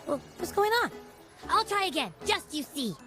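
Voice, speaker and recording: A high-pitched, excitable little creature, full of brag and appetite. The delivery swoops up and down like a cocky childlike game sidekick, with music playing behind. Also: female voice, normal speed. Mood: flustered, indignant. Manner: boastful, excitable. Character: small boastful, gluttonous echo creature buddy, childlike. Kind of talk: video game character acting. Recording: background music under the voice.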